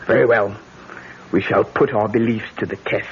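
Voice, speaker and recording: An actor playing a fierce, vengeful older voodoo practitioner in an old-time radio drama, speaking in a deliberate, incantatory way on a noisy recording. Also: medium pitch, steady tone, dull audio, natural voice. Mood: ominous, deliberate.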